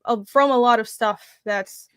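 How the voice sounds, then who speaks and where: A woman's voice: on the high side, unhurried, clear, resonant tone, dry recording, clean studio sound. A young woman from Taiwan talking about her comics in a casual interview, earnest and thoughtful with many fillers. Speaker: comic creator guest.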